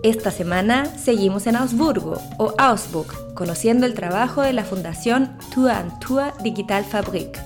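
A woman hosting a podcast, interviewing a guest with lively, curious questions. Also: mid-range voice, moderate pace, few pauses, music in the background. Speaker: podcast host.

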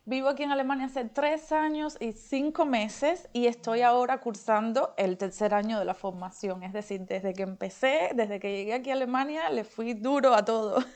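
A woman's voice: fairly high pitch, moderate pace, a little mellow. A woman from Cuba with a Cuban accent, chatting casually as an interview guest and telling personal stories.

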